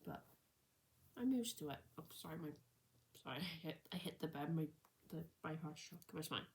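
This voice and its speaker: A woman's voice: low-pitched, lively intonation, moderate pace, a little hiss or hum. A woman in her early twenties telling a personal story in a rambling, unscripted vlog, with many restarts and self-corrections. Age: 20s. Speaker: vlogger. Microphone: camera set a little far away.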